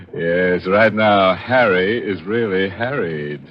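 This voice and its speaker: Creepy gleeful radio horror host, real deep n slow, muffled 40s recording. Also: male voice, soft background music. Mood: gleeful, macabre. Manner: mock-sinister, drawn-out, chuckling. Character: gleefully macabre, punning male horror host. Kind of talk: 1940s horror radio hosting. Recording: dull vintage 1940s radio recording.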